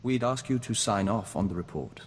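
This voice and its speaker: A man playing a calm, formal diplomat joined to a hive mind, speaking in a measured, earnest way in a video game. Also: fairly low pitch, natural voice. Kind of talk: scripted video game companion dialogue. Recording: long reverb, audible background noise.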